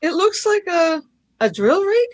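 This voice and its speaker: Woman playing a high-pitched plucky young girl. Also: big pitch swings, measured pace, exaggerated voice. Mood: curious. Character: plucky young girl companion, cheeky and impatient. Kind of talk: radio play acting.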